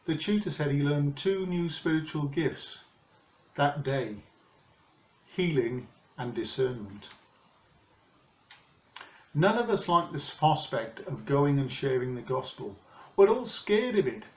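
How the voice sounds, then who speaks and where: A man in his sixties with a British accent, giving a calm, measured, earnest sermon from his living room. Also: on the high side. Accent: British English. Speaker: preacher. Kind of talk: recorded home sermon. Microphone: camera in front of him, seated. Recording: roomy, slightly dull home recording.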